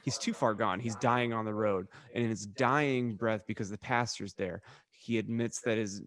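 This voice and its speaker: A man's voice: fairly low pitch, fairly even intonation, moderate pace, fairly bright tone. A man co-hosting a podcast, telling rambling anecdotes in a casual, comedic chat with a wry manner and ready laugh. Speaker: podcast co-host.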